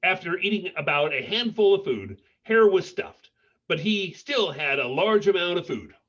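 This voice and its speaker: A man reading a picture book aloud to children in an expressive way, pausing to put questions to his listeners. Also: high voice, muffled recording. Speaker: school principal reading to children.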